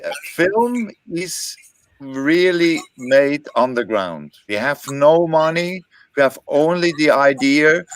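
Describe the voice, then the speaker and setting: Halting man. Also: medium pitch, no echo, poor audio. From Switzerland. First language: German. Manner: halting, simple English. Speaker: filmmaker. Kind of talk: panel Q&A answer.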